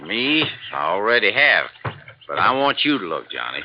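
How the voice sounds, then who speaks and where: A man's voice: on the low side, sing-song, moderate pace, noisy background. An actor playing a folksy, stubborn old desert fisherman in a 1950s radio drama, drawling and hesitant, heard through a dull old recording.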